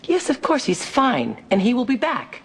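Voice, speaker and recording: A defiant middle-aged soap matriarch with a cutting, sarcastic tongue. Her high voice swings widely, with faint room noise behind. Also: wildly swooping pitch, moderate pace. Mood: flippant. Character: scheming, sharp-tongued rich matriarch, defiant and flippant. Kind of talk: soap opera acting.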